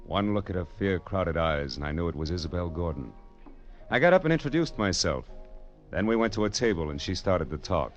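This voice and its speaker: An American actor playing a wry, hard-boiled private detective in a 1940s radio drama, with a sardonic, clipped, wisecracking manner. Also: male voice, deep voice, animated, a little fast, dull audio. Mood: wry, world-weary. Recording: old radio broadcast, music behind.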